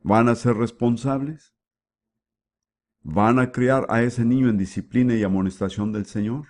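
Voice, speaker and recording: A man giving the voice-over for a sermon, with a measured preaching delivery and frequent rhetorical questions. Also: mid-range voice, steady tone, darkish tone. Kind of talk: dubbed sermon.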